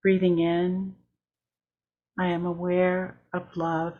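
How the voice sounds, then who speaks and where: A woman worship leader guiding a meditation in a calm, measured way, with short deliberate phrases. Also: fairly low pitch, muffled recording. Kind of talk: guided meditation.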